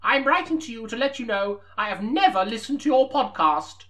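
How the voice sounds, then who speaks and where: A woman's voice: on the high side, lively intonation, normal speed, fairly bright tone. A woman playing a middle-aged police officer reading out her letter in a comedy podcast sketch. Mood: earnest. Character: forty-six-year-old female police officer writing in.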